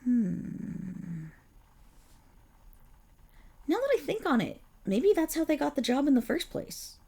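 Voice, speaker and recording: A woman playing a silky, gravelly villain boss. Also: high-pitched, big pitch swings, takes it slowly, dark tone, full character voice. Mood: scornful gossip. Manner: silky, condescending, purring endearments. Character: suave, scheming villain boss, possessive and protective. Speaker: voice actor. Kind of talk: ASMR roleplay audio drama.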